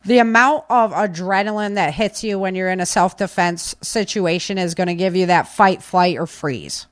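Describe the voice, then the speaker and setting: A woman's voice: lowish voice, moderate pace, no room sound. A woman talking casually and insistently, repeating key phrases for stress like a podcast speaker giving firm advice. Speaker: podcast speaker on preparedness and self-defense. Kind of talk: casual, opinionated talk.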